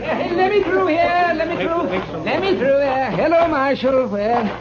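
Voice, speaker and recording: A man acting a fussy, excitable old American frontier doctor in an old-time radio western. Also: extremely high pitch, measured pace. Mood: fussy, bustling. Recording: muffled old radio, crowd noise.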